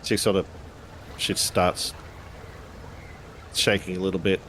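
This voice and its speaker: A man narrating a tabletop game as game master, improvising in a halting way as if thinking aloud. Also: low-pitched, level tone, fairly bright tone, slight background noise.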